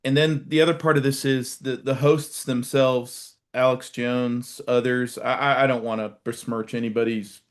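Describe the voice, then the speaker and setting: American man giving measured political commentary. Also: mid-range voice, flat delivery. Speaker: guest commentator, retired military. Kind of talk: live political commentary, conversational.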